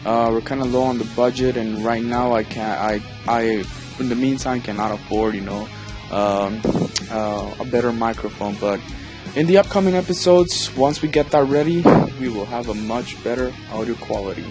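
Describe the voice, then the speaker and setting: A man's voice: medium pitch, normal speed. Young Honduran man podcasting on a phone. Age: 20s. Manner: rambling, with many fillers and restarts. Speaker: podcast host. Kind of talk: casual solo podcast monologue. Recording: dry phone recording with faint music.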